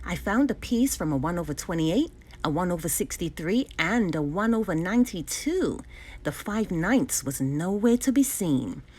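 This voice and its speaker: Animated woman reading a children's story. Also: on the low side, normal speed. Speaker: storybook reader. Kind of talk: expressive scripted read-aloud for children.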